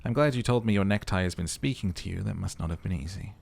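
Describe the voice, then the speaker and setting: Man as male police lieutenant, deep voice, calm, tolerant, restrained, polite, game dialogue. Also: fast, vocal fry, a little hiss or hum. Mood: calm, concerned.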